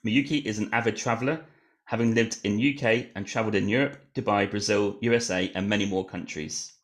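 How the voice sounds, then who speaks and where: A man's voice: lowish voice, steady tone, a little fast. A man hosting a podcast, reading an upbeat introduction and then asking casual interview questions. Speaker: podcast host.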